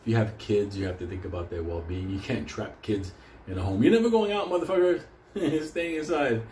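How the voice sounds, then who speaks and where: A man's voice: lowish voice, a little fast, darkish tone, echoey room, slight background noise. A man from Puerto Rico whose first language is Spanish, telling profane, rambling stories and laughing often as host of his own show. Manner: rowdy, laughs often, swears constantly. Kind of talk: casual profane storytelling monologue.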